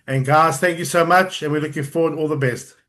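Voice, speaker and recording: Effusive man with a higher voice. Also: fairly even intonation, brisk, a bit bright. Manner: effusive, rambling. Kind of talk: introducing a speaker.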